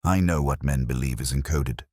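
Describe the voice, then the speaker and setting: A man voicing a poised, calculating woman schemer in a storybook reading, softly and deliberately. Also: a bass voice, dry recording, clean studio sound. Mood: calculating, defiant. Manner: soft, composed, deliberate.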